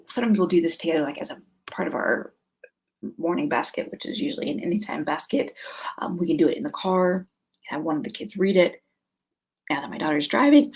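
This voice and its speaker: Slightly husky middle-aged woman, chatty. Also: fairly low pitch, a little mellow. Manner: chatty, conversational, thinking aloud. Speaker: homeschool vlog host. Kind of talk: casual informal product recommendations to camera.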